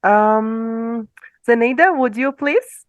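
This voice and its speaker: A woman's voice: on the high side, takes it slowly, no echo, lo-fi sound. Upbeat woman teacher hosting a call. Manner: upbeat, welcoming, calls on each participant by name. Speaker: English teacher hosting a speaking club. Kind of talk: friendly hosting on a video call.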